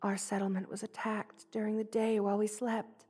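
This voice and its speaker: A woman voicing a gentle, loving, motherly spider queen in a soft, hushed ASMR roleplay, tender and wistful, often slipping toward a whisper. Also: mid-range voice, flat delivery, moderate pace, breathy edge, lightly acted. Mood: sorrowful, hushed.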